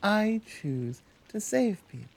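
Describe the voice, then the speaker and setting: A woman acts out a self-assured, gentle Amazon in an intimate ASMR roleplay. She speaks softly and very unhurriedly at a medium pitch, leaving deliberate pauses, with a playful streak. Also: sing-song, full character voice. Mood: gentle, reassuring. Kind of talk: intimate ASMR roleplay, one-sided conversation.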